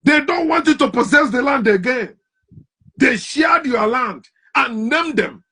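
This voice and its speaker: A man giving a forceful, preaching monologue full of rhetorical questions, his loudness rising and falling sharply. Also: very high voice, animated, a little slow, husky. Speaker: commentator.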